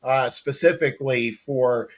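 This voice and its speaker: A man's voice: medium pitch, unhurried. A middle-aged or older American man hosting a conversational interview, asking questions and reacting to his guest. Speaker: talk show host, interviewer.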